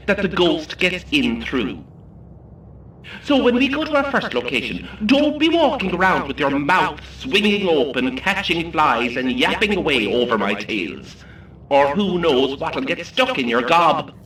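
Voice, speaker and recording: An actor playing a cheeky, theatrical Irish ghost-tour guide in an audio drama, announcing over a coach microphone with showy, insulting patter. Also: male voice, a little high, pushed and bright, exaggerated voice. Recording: echoey on-board PA, slightly distant, faint noise.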